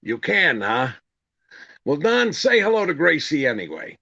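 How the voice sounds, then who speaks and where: An actor playing an exasperated comedian and straight man in a 1930s-style radio comedy, with a raspy voice. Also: a little high, sing-song, a little slow, bright, forceful tone, muffled recording, full character voice. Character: exasperated vaudeville comedian husband, straight man to his partner.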